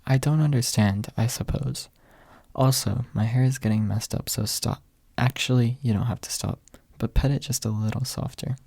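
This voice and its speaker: A man's voice: mid-range voice, moderate pace, gravelly, warm tone, breathy edge, no room sound, clean studio sound. A man voicing a haughty little girl who grumbles but is secretly affectionate, prim and huffy, in an ASMR audiobook. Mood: huffy, softening.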